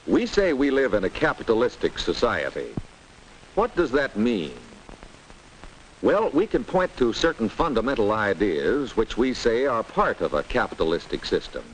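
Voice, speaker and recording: A man's voice: mid-range voice, very animated, moderate pace, lightly acted, low-quality recording. An American man playing a formal town treasurer, lecturing didactically in the style of a 1940s educational film. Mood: didactic. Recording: hissy old film soundtrack.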